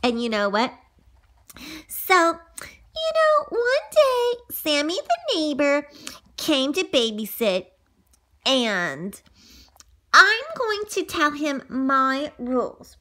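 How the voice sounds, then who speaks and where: An excitable, mischievous little girl, tells her storybook adventures. Her voice is high and bright and swings widely in pitch. She lingers on words at an unhurried pace, full of giggly gushing. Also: full character voice. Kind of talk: animated children's storytelling.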